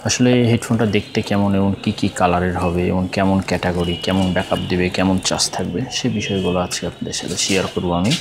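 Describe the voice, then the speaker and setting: A man with a Bangladeshi accent speaks in a fairly low voice at a quick clip. He presents products like a shop seller walking customers through features off the cuff, circling back over his points and dropping in small verbal check-ins. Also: level tone. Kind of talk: unscripted product demonstration.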